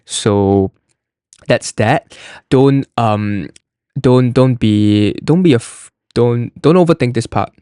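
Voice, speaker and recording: A man's voice: medium pitch, takes it slowly, dark tone, clean tone, no room sound, clean studio sound. A young man from Singapore, hosting an admissions podcast and walking through an application form in a chatty, loose way with frequent restarts. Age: young adult. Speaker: college admissions podcast host.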